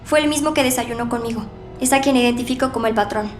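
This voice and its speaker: An actress playing an earnest young kidnapping victim giving deliberate testimony in an audio drama. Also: female voice, fairly high pitch, faint music behind, natural voice. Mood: firm, certain.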